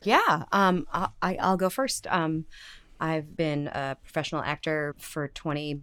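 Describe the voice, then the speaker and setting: Middle-aged woman, deep female voice, actress, interview guest, casual chat, dry sound. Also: a little slow, a bit bright, no room sound.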